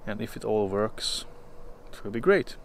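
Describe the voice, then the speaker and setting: A man in his thirties from Hungary, casually talking to a close-held camera outdoors in the woods, often pausing with uh. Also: fairly low pitch, animated, a little hiss or hum. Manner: hesitant, many filler uhs. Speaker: vlogger.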